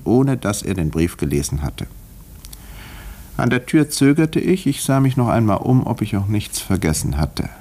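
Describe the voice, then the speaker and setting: A village doctor in a vintage 1950s radio play. He recounts a murder case with precise, controlled diction and animated inflection, on an old radio recording. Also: lowish voice, heavily acted. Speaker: narrator and lead actor.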